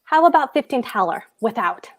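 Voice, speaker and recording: Woman playing a high-pitched, blustering, bossy husband. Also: big pitch swings, fairly quick. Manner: pompous, emphatic. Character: blustering, bossy, boastful German husband and landlord. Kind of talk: play table read.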